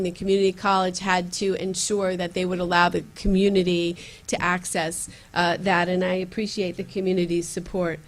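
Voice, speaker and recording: A county legislator, a woman, addresses a community meeting in a room and pauses often with fillers and restarts. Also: medium pitch. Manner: earnest, with frequent fillers and restarts. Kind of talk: campaign speech at community meeting.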